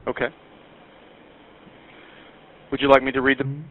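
A man's voice: mid-range voice, monotone, unhurried. A man working the phone at a pizza shop, giving brief customer service replies over a dull line. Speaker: pizza shop employee taking calls.

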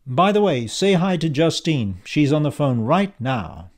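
A man voicing a cheeky male schemer in an audiobook, calm, confident and mockingly polite as he taunts. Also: on the high side, unhurried. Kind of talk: audiobook character dialogue.

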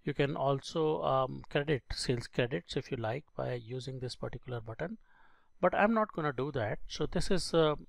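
A male instructor with a creaky, gravelly voice walks through software step by step. His delivery is halting and explanatory, like a screen-recorded training lesson. The sound is dry and close. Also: medium pitch, no echo.

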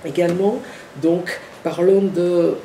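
A woman, a from Sri Lanka, giving an academic lecture in a halting way, with many restarts and repeated words. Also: lowish voice, fairly even intonation, mellow. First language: Sinhala. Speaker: guest lecturer. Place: university seminar room. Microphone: far camera. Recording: echoey room from far off, faint noise.

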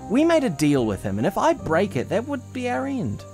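A man's voice: sits high, huge pitch swings, moderate pace, gravelly, darkish tone, exaggerated voice. A man voicing an anxious, well-meaning young teacup boy in a cartoon comic dub, hesitant and pleading. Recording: prominent music behind the voice.

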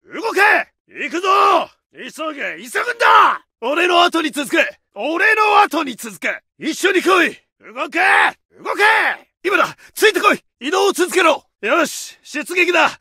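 An actor playing a fierce Japanese infantry soldier, barking aggressive combat orders in a game. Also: male voice, very high voice, big pitch swings, unhurried, few pauses, pushed and bright, no echo, shouting, studio quality, heavily acted. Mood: shouting orders. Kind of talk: shouted combat barks.

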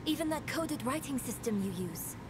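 Earnest, high-voiced young fantasy heroine. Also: female voice, animated, moderate pace, crowd noise. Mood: determined. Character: eager, determined young woman in dark fantasy game. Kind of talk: video game voice acting.

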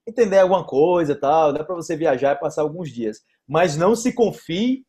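Young man, host, upbeat and chatty, fairly high voice, warm mellow tone, earphone mic, small room. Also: lively intonation, a little fast, husky. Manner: upbeat, chatty, laughs easily. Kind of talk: casual interview over video call. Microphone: earphone mic on video call.

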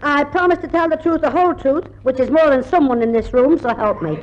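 A woman's voice: sits high, brisk, dull audio, lightly acted. An actress playing an indignant, stubborn, scatterbrained woman witness in a 1950s radio comedy. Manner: huffy, contrary. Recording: noisy 1950s radio recording.

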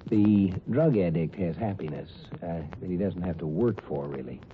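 A man's voice: low-pitched, lively intonation, normal speed. A man hosting a 1950s radio show, explaining in a conversational commentary style. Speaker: host. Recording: tinny 1950s radio, faint noise.

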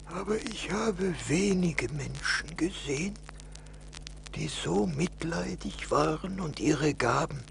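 Creaky, slow old beggar. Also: male voice, a little high, sharp tone, heavily acted. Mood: grateful, gentle. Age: older. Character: frail old beggar man, weary and pleading. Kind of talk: 1980s radio play acting. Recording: noisy old vinyl record.

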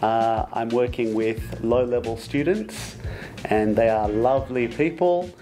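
A man in his fifties, a teacher, talking in a relaxed interview on a lapel mic. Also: mid-range voice, takes it slowly, soft background music. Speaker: English teacher. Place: classroom.

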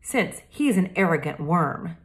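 A woman voicing a snobbish, haughty young girl who talks loudly, slowly and disdainfully. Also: fairly low pitch, sing-song. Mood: sneering. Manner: loud, slow and disdainful.

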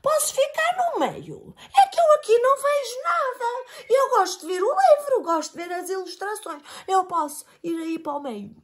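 A woman's voice: very high-pitched, very animated, moderate pace, hardly any pauses, pitched above the performer's own voice. A woman voicing a small, eager male fish in a children's story, asking over and over to be let into the middle. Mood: pleading. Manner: pleading, eager.

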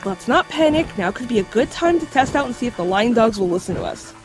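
A studious young woman, played by an actress in an audio drama. Her fairly high voice is measured and cautious as she explains things. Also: expressive, soft background music, close to an everyday voice. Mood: explanatory, cautious. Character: bookish, cautious young female folklore expert.

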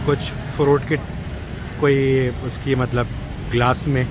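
A man's voice: mid-range voice, little pitch movement, slow. A man with a Pakistani Urdu accent, chatting in a rambling, casual way as he walks and films a travel vlog. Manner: chatty, rambling, with many fillers. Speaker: travel vlogger. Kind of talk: casual walking vlog commentary. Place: busy city street at night, outdoors. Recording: loud street traffic noise. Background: heavy motorbike and traffic noise.

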